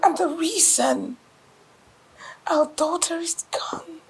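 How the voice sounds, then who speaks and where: An actress playing a distraught mother who swings between tearful whispers and anguished shouting. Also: sits high, very animated, normal speed, creaky, slight background noise, heavily acted. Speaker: mother whose daughter was taken. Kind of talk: film drama acting.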